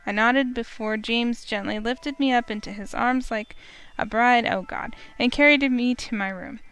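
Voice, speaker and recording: Woman streamer, slightly high voice, narrates a romance game aloud with feeling. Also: normal speed, clean tone, low-quality recording. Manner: expressive, first-person storytelling. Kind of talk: reading a visual novel aloud.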